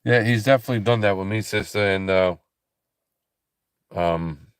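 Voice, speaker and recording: A tired man hosting a late-night ministry livestream, talking slowly and softly with a calm, relaxing voice, with many pauses and restarts. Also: lowish voice, clear, resonant tone, no room sound, clean studio sound. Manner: slow, halting, soft-spoken, many fillers and restarts. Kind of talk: casual late-night livestream chat with viewers.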